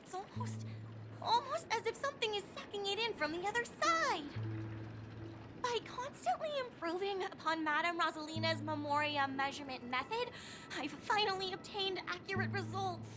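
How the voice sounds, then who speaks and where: An obsessive female scholar from a video game, in a very high voice. She lectures earnestly and dramatically, as if doom is near, over loud music. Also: expressive, normal speed. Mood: grave, urgent. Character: earnest, obsessive young female dreamscape researcher prophesying doom. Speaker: game character, researcher. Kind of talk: English video game voice acting.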